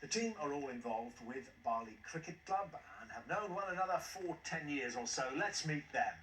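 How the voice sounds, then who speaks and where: Jovial quiz host guy, bright punchy voice, echoey studio, mic kinda far off. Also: on the high side, lively intonation, moderate pace. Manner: jovial, chuckles at contestants' replies. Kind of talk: TV quiz hosting. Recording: echoey studio, mic at a distance, faint hiss.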